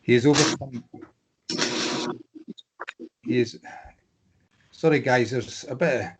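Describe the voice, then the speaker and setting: A man's voice: mid-range voice, steady tone, slow pace, noisy background. A man reading stage directions as scripted narration at a drama table read.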